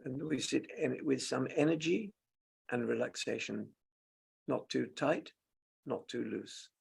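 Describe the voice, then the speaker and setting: Male meditation teacher, very creaky and husky, speaking gently in short, spaced-out phrases. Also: mid-range voice, unhurried. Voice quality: gravelly, husky. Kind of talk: slow guided meditation with long pauses.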